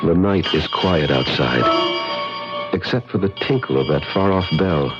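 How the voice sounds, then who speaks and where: Muffled old radio sound with loud music behind carries a determined American traveler. His voice is very low, skeptical yet friendly, with an American accent. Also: measured pace. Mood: reflective, brooding. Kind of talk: radio drama acting with brooding inner monologue.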